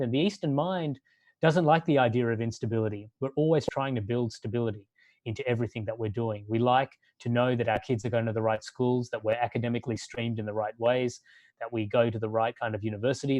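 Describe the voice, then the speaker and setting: A man who grew up in Australia, giving a sermon-like talk in a measured way and building long lists for emphasis. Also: medium pitch, darkish tone, dry recording.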